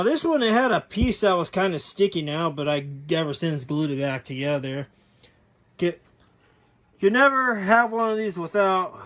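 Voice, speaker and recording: A man's voice: on the high side, a bit bright. A man giving a casual, unscripted overview as a hobbyist video host, rambling and correcting himself as he goes.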